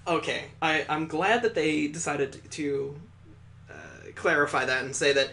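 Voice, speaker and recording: A man's voice: on the high side, lively intonation, measured pace, vocal fry, pushed and bright. A man hosting a let's play, reading a visual novel aloud and breaking in with sardonic asides.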